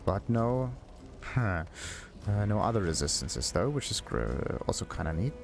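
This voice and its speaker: A man's voice: fairly low pitch, big pitch swings, a little slow, vocal fry. A man doing casual let's play commentary, thinking aloud to himself with game music behind him. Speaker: gaming video host. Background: video game music.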